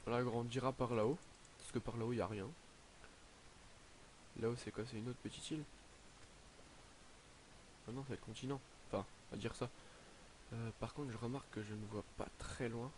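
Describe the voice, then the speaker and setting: A teenage boy narrating his game in a casual, hesitant way, filling pauses with "donc, euh" and sounding slightly stuffy from a cold. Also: medium pitch, fairly even intonation, background noise. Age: teens. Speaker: gaming YouTuber. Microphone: headset.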